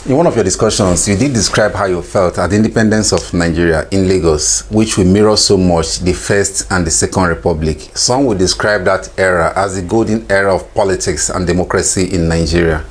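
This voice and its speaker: A man interviewing a guest, asking formal, measured questions. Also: sits low.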